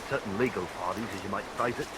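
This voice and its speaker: A man's voice: lowish voice, fast, close to an everyday voice. An actor playing a stubborn, indignant tradesman, dry and formal, in vintage radio drama style. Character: stubborn indignant tradesman demanding his payment. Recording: hissy vintage radio recording, loud rain.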